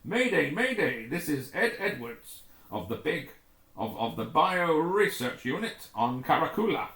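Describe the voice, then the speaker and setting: A man's voice: on the high side, very animated, measured pace, sharp tone, reverberant, full character voice. A man voicing a panicky, stammering research scientist in distress in a sci-fi adventure story. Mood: alarmed, desperate. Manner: stammering, spluttering alarm.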